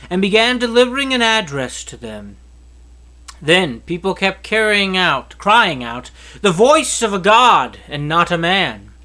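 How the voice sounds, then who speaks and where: A man reading scripture aloud as narration, with an expressive, emphatic storytelling delivery. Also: on the high side. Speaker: Bible reader.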